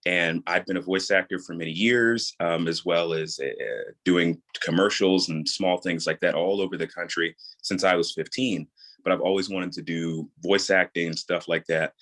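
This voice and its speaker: Male guest from New York, very low soothing voice, easygoing conversational explainer. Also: a bit bright. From New York, USA. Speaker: guest, audio drama creator and voice actor. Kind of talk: casual interview chat.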